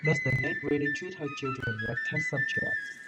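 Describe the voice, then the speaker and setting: A man's voice: high-pitched, wildly swooping pitch, moderate pace. A man streaming a game, giving casual, reactive commentary and sometimes reading aloud. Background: loud game music.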